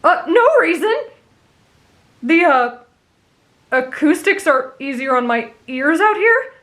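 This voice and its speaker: A woman's voice: high voice, animated, takes it slowly, a bit bright, full character voice. A woman voicing an anxious, scheming teenage boy with a petulant, exasperated manner, in an anime fan roleplay. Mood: nervous, coy.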